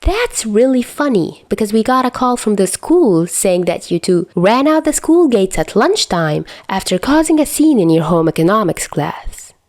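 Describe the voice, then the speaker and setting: A woman voicing a firm but caring mother of a teenage boy in a story reading, stern yet gently concerned. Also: mid-range voice, very animated, moderate pace, few pauses, dry recording, pitched above the performer's own voice, close to an everyday voice.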